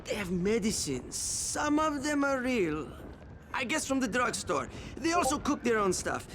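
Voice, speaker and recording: Very high-pitched, slippery peddler. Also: male voice, sing-song, a little slow, a bit bright, slight background noise, full character voice. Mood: evasive, nervous. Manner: theatrical, wheedling. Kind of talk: video game cutscene dialogue.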